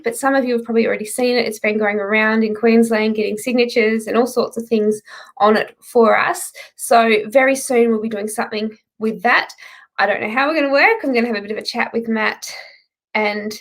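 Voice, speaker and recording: A woman's voice: on the high side, steady tone, fairly quick. Chatty woman hosting live. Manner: friendly, chatty, greets viewers by name. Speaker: host of online country music show. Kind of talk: live-streamed show chat.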